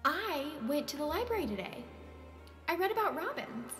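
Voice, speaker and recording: Reverby recording w music, highish young woman, intense and needy, stage drama. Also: big pitch swings, moderate pace, fairly bright tone. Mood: tender, wistful.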